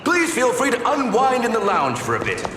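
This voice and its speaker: A man playing a showy, flattering auctioneer in a video game, theatrical and grandiose, as if addressing a hall. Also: very high-pitched, exaggerated voice. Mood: welcoming showman. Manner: theatrical, grandiose, drawn-out calls. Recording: echoey hall, noise behind.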